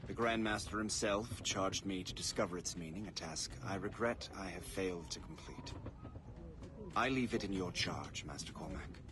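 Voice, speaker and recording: A man acting a deep-voiced, solemn British colonel. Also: normal speed, natural voice. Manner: solemn, formal. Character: dignified, resigned British colonel reading his farewell letter. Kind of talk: video game voice-over, letter narration. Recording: clear background noise.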